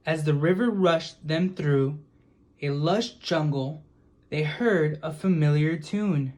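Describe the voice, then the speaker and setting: A woman reading a children's picture book aloud in a deliberate, expressive storytelling manner. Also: low voice. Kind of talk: storybook read-aloud narration.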